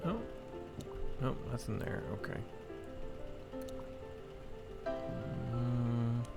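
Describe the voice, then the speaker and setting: A man's voice: medium pitch, steady tone, drawn-out words, vocal fry. A man giving casual let's play commentary, thinking aloud hesitantly as he plays. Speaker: game streamer. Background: game music.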